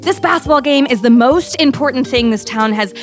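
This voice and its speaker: A woman's voice: high-pitched, expressive, brisk, faint music behind. A woman playing an earnest, pushy teenage student journalist in an audio drama, with an insistent, sassy manner. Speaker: voice actor.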